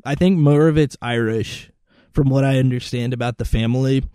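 A young man with a mellow, relaxed voice at an average pitch. He talks casually as a podcast co-host, in a dry, close sound. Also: moderate pace, clear, resonant tone, dry recording. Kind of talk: casual chat.

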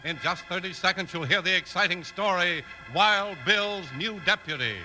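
A man's voice: high-pitched, moderate pace, poor audio. A man announcing and narrating in 1950s radio style, with an emphatic, punchy showman delivery. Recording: old radio recording with music. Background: music.

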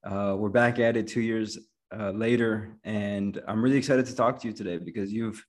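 A man's voice: lowish voice, fairly even intonation, normal speed. A man hosting a podcast, welcoming his guest and asking about his background in a casual, friendly way with frequent fillers. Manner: friendly, uses many fillers like "you know". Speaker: podcast host. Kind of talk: conversational interview.

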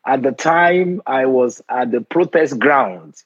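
A Nigerian man in his forties with a Nigerian accent, hosting a conversational interview in an earnest, measured way with frequent restarts. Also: mid-range voice, expressive, husky, no echo, highs cut off. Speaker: host.

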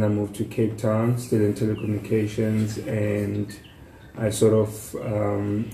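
A South African man in his thirties talks casually, with halting phrasing. His voice is lowish and very dark and soft-edged, recorded in a roomy, echoing space. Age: 30s. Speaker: podcast guest. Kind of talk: casual self-introduction. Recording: echoey room, faint background noise.

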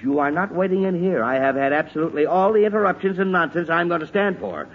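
A man's voice: on the high side, fast talker. An actor playing an exasperated, hot-tempered middle-aged father in a blustery, sarcastic 1940s radio comedy style. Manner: blustery, sighing, sarcastic muttering. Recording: muffled, noisy 1940s radio recording.

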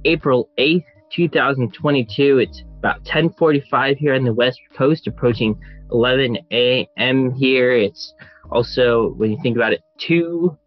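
A man's voice: mid-range voice, normal speed, no echo, faint music behind. A young man hosting a sports podcast, running through the topics in an upbeat, orderly way. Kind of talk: scripted-sounding show hosting. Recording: dry, dull lo-fi sound.

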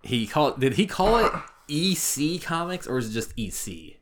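A man's voice: medium pitch, sing-song, normal speed, a bit bright. A man co-hosting a podcast in casual chat, with a wry, joking way of talking. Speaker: podcast co-host.

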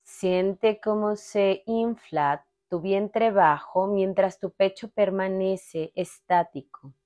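A woman narrating a guided meditation in a calm, instructional manner with long pauses between short phrases. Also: medium pitch. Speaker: meditation guide, podcast host.

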